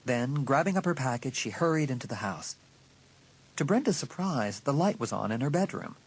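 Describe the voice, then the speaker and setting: Guy narrating a dramatised audiobook, mid pitch, very expressive, bit of a rasp. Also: normal speed, low-quality recording.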